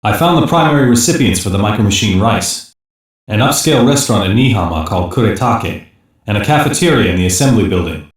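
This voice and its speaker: A man playing a sober security-police investigator in a sci-fi game, delivering measured, factual reports. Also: fairly low pitch, animated, close to an everyday voice. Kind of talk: English animated sci-fi game voice acting. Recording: very echoey, distant-sounding game audio.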